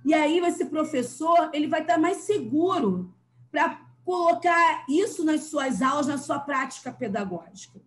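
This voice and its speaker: A woman's voice: high-pitched, lively intonation, measured pace. A Brazilian woman speaking as a guest educator in a discussion, with an emphatic manner. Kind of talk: earnest explanatory discussion.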